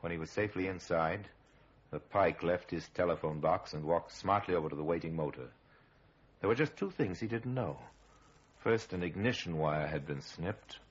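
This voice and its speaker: Deep, husky, sardonic crime narrator. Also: male voice, expressive. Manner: measured, ironic, ominous storytelling. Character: grave male narrator of a true-crime series, sardonic. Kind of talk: 1950s radio crime drama narration, dramatic. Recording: muffled vintage radio recording.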